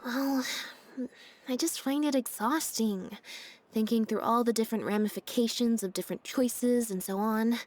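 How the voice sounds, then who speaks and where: A woman voicing a laid-back, deadpan young stage magician's assistant in a video game, speaking in an unhurried, matter-of-fact way. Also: on the high side, close to an everyday voice. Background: game background music.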